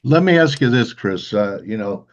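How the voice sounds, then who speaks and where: Man, low voice, interview host, casual roundabout questions, dull lo-fi sound. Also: lively intonation, normal speed.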